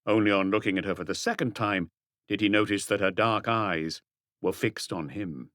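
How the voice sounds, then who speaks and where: Man, literary audiobook narration, fairly deep, varied melody, dry close-miked sound. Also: moderate pace, fairly bright tone, dry recording.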